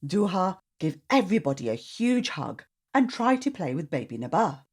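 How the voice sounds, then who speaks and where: A woman reading a storybook aloud to children in an expressive narrating style. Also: lowish voice, normal speed, husky.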